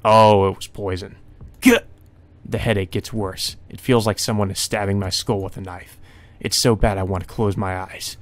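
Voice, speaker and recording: A man's voice: fairly low pitch, little pitch movement, moderate pace, no echo. A man hosting a let's play, reading visual novel narration aloud and adding his own off-the-cuff reactions.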